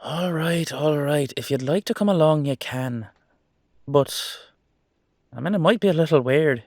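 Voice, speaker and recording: A man's voice: a little high, unhurried, darkish tone, no echo, clean studio sound, voice pushed up. A man playing a cheeky, easygoing immortal headless horseman in a roleplay audio drama, talking in a casual, rambling, reassuring way. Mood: reassuring, slightly nervous.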